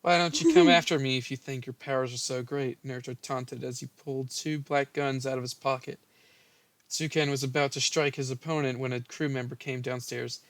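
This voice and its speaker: A man's voice: medium pitch, a little fast, fairly bright tone, no echo. A young man casually reading a story aloud and bantering about it. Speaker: host reading own old fan fiction.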